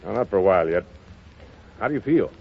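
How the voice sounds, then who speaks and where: A man's voice: on the low side, expressive, quick pace, vocal fry, slight background noise. An actor playing a tough, slangy, commanding man in 1930s radio drama style, curt and emphatic. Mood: gruff, bitter. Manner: curt, hard-boiled, emphatic. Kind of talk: 1930s American radio acting. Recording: muffled lo-fi 1930s radio broadcast.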